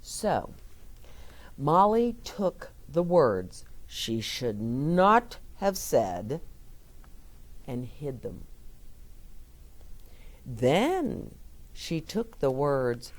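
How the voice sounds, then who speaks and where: A woman reads a children's picture book aloud in an unhurried, very slow way, with a low voice that still swoops up and down a lot. She pauses to explain words and to ask her young listeners gentle questions. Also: very animated. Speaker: children's storyteller.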